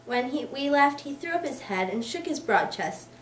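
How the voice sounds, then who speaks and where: A woman's voice: high-pitched, expressive, moderate pace. A young woman in her teens, a student, giving a scripted literary reading as narrator. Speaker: student reader. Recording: echoey room, distant mic, faint noise.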